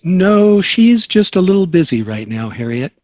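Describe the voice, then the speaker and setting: A man's voice: high-pitched, very animated, normal speed, a little mellow, highs cut off. A man playing a jokey suburban sitcom dad in an amateur table read. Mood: upbeat, teasing.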